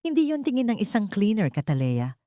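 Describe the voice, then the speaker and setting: A woman voicing a calm, protective, wealthy man in a measured, solemn, formal way for an audio love story. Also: mid-range voice, dry recording, studio quality, pitched above the performer's own voice, close to an everyday voice. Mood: grave, gentle.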